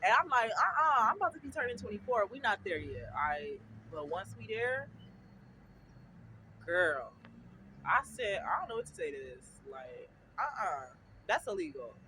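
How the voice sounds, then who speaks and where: Young woman in her twenties, vlogger, chatty and giggly, very expressive pitch, loud city traffic behind. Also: normal speed, poor audio. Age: 20s. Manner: chatty, keeps asking "you feel me", breaks into laughter. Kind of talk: casual chat to camera. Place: outdoors at a bus stop. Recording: outdoor street traffic noise.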